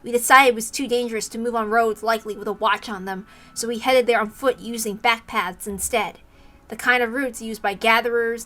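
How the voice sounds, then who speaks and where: Bright, higher-voiced woman reading aloud. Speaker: streamer reading a visual novel aloud. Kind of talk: livestream read-aloud with casual asides.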